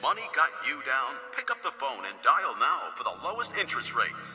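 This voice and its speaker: A man makes promotional radio announcements in a mid-pitched, lively voice. The sound is lo-fi and echoey, with music under it. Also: a little fast.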